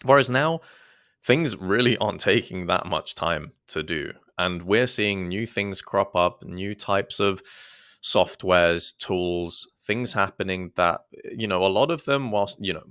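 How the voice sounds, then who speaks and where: A man's voice: low-pitched, unhurried, fairly bright tone, clear, resonant tone, no echo, studio quality. A man who is a guest on a podcast, talking in a thoughtful, hesitant way with many restarts. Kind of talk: casual podcast conversation.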